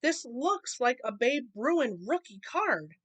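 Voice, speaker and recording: A woman's voice: high-pitched, sing-song, slow, fairly bright tone, dry recording, pitched above the performer's own voice, full character voice. A woman voicing an eager, earnest young boy bear cub in a children's storybook. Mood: amazed, curious.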